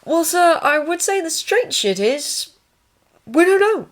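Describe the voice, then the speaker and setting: Woman as a male medical corporal, high pitch, polite, earnest, deferential, audiobook dialogue. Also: very animated, slow pace, exaggerated voice. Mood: dutiful, earnest.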